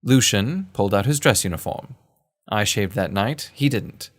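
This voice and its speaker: A man playing a genteel adventurer who narrates his own period tale in formal, measured, literary phrasing. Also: fairly low pitch, expressive. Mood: measured, grave. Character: male first-person narrator, genteel adventurer recounting a kidnapping case. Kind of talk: scripted period-story narration.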